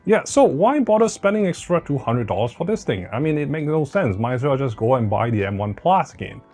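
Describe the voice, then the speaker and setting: A man's voice: mid-range voice, very animated, a little fast, darkish tone, soft background music. A man hosting a tech review in a casual, conversational way, with emphatic stresses and self-correcting asides. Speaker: tech review video host.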